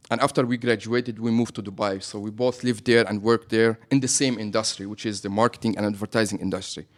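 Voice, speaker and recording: This is a man with a Lebanese accent and a fairly low voice. He is a business owner answering questions in a radio interview. He keeps checking in with his listeners by adding "right?" to his points. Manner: explains with frequent "right?" tags.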